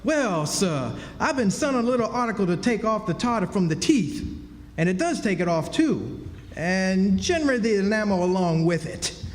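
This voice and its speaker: Man playing a high-voiced, florid con-man drifter. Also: big pitch swings, normal speed, slight background noise. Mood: folksy boasting. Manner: folksy, grandiose, hammy delivery. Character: florid old con-man drifter, self-pitying and theatrical. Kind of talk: old-time radio drama acting. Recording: live stage in echoey hall.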